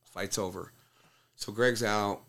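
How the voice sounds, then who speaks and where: A man's voice: lowish voice, fairly even intonation, slow, a bit bright. A man who coaches fighters, telling a fight story bluntly in casual conversation, swearing freely. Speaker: MMA coach and fighter, podcast guest.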